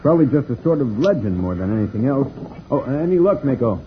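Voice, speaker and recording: An actor playing a calm, seasoned, fatherly spaceship commander who explains things reassuringly in an old-time radio serial. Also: mid-range voice, quick pace, close to an everyday voice. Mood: calm, measured. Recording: tinny, noisy old radio recording.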